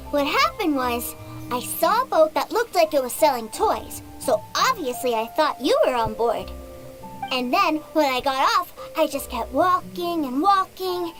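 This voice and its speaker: A woman's voice: very high voice, exaggerated voice. A woman voicing an innocent, eager little boy who adores his older brother, earnest and childlike, in a video game. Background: game music.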